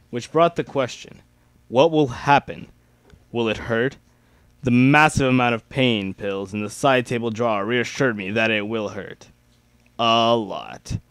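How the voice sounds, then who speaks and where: A man's voice: medium pitch, fairly bright tone, clean tone, no room sound. A young man giving an amateur dramatic reading of a first-person story.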